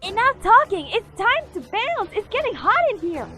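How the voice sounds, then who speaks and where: Very high, spunky teen fairy girl voiced by a woman. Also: sing-song, moderate pace, pushed and bright, faint music behind, heavily acted. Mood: urgent. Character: spunky, plain-spoken teenage fairy girl. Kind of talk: cartoon fandub acting.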